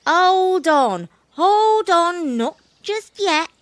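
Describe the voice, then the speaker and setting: A woman's voice: extremely high pitch, very animated, no echo, voice pushed up, heavily acted. A woman voicing a sly, bossy monkey in a children's story, stretching out instructions. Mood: bossy, stalling. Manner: drawn-out, stalling, instructing. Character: sly, bossy trickster monkey teasing a youngster.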